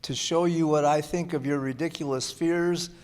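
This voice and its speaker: A man's voice: on the high side, steady tone, moderate pace, darkish tone, clear, resonant tone, lightly acted. An actor playing a rational, kindly minister and father in a radio play, speaking formally, politely and firmly. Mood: skeptical. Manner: formal, polite, firm diction. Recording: echoey hall stage recording.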